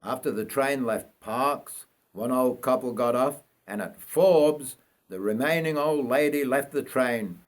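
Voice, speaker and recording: An older man from North Yorkshire narrates his own autobiographical story. His pitch sits in the middle range. He tells it in a measured, folksy way, as a writer reading his work aloud. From North Yorkshire, England.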